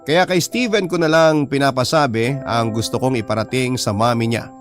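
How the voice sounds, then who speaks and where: A man's voice: mid-range voice, moderate pace, soft background music. A man narrating a radio drama, reading out a personal letter as a story.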